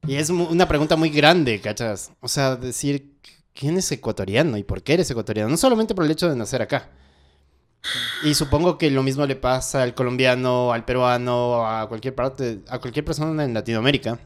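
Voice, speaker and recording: A man's voice: medium pitch, a little fast. Casual Quito-accented man hosting a chat. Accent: Quito Ecuadorian Spanish. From Ecuador. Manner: casual, reflective, keeps checking in with "¿cachas?". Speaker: host, musician. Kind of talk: conversational interview.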